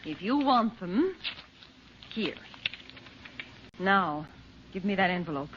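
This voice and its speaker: A woman's voice: medium pitch, takes it slowly. An actress playing a caring, fretful middle-aged mother in an old radio serial. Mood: tense, defiant. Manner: earnest, expressive, fretful. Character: anxious, caring mother, emotional and proud. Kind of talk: 1930s-40s radio serial acting. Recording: muffled old radio recording, faint hiss.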